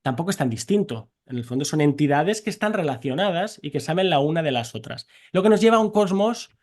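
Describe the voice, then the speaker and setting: A man in his forties from Barcelona talks in an exuberant, joking way, racing through tangents. His voice sits a little high. He is a scientist being interviewed. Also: lively intonation, darkish tone. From Barcelona, Spain. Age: 40s. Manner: exuberant, jokey, rapid tangents. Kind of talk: animated conversational interview.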